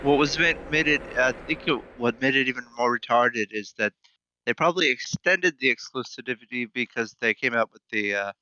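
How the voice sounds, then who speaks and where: Bright-voiced chatty man in his thirties. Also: medium pitch, normal speed, no echo, muffled recording. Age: 30s. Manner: chatty, hesitant with fillers. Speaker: co-host friend. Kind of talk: casual gaming stream chat.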